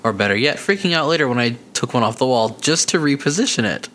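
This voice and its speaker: 男性のポッドキャスト司会者、軽い雑談調. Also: male voice, mid-range voice, animated, fast, a bit bright, a little hiss or hum. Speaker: podcast co-host. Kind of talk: casual chat and reading listener emails.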